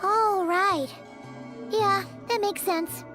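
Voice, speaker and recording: A woman's voice: very high-pitched, steady tone, very slow, music in the background, exaggerated voice. A woman voicing a small, perky, childlike fairy companion in a video game, chirpy and exclamatory. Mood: curious. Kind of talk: English video game voice acting.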